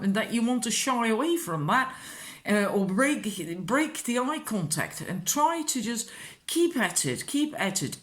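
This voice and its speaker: Slow-paced Dutch woman giving casual advice. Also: a little high. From Netherlands. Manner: informal, encouraging, rambling with asides. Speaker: tarot card reader. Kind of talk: casual spiritual advice talk.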